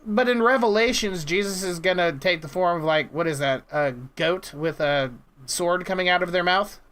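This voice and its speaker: A man's voice: sits high, moderate pace, fairly bright tone. A man in his thirties chatting casually and teasingly, asking probing questions like an interviewer. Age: 30s. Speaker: prank caller and interviewer. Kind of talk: casual teasing phone chat.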